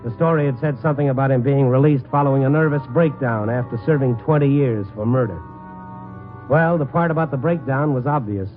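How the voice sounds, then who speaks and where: A man plays a worldly American newsman of 1950s radio noir. He narrates and talks in dry, slangy, hard-boiled fashion at a middle pitch. The recording is boxed-in and narrow, with prominent music behind him. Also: a little fast, close to an everyday voice. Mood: grim, wry narration. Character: wry, hard-boiled male night-beat reporter, humoring and worldly. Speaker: narrator and lead actor. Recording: band-limited old radio broadcast recording.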